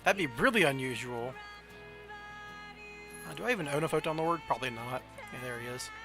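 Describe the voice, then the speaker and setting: A man's voice: a little high, expressive, fairly quick, bright, forceful tone, faint music behind. A man with a Southern American accent chatting casually as a game streamer, thinking aloud and questioning himself as he plays a card game. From southern United States. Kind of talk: casual livestream chat while playing a card game.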